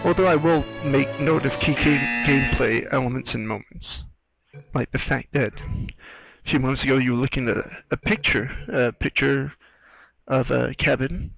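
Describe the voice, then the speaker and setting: A man from Britain giving casual, musing screenwriter's commentary over a video game, hesitant and halting. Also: mid-range voice, vocal fry, fairly bright tone, rough recording. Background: game audio with faint music.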